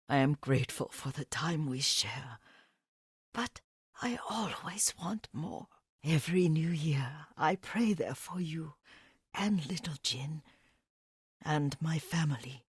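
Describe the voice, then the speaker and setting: Elderly female caretaker, game drama: gentle, wistful, devoted, slightly low husky voice, halting slow delivery. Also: dry recording, studio quality, full character voice. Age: older. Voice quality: husky, breathy. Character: frail, devoted elderly Japanese woman caretaker and herbalist. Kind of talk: dramatic video game voice acting.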